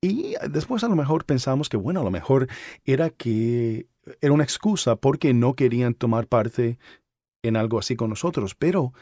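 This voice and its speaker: A man's voice: lowish voice, a little mellow, no echo. Male podcast host, dry close sound. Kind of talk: casual conversational podcast talk and interviewing.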